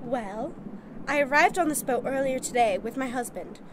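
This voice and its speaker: A woman's voice: sits high, lively intonation, moderate pace, noisy background. A woman playing a distressed but determined young newlywed in a radio play. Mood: anxious, defiant.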